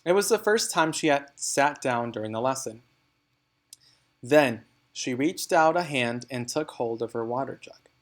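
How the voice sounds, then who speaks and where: A man narrates a children's storybook in a mid-pitched voice. He reads expressively and deliberately, leaning on key words for dramatic effect. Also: expressive. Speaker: read-aloud narrator. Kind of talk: expressive storybook reading for students.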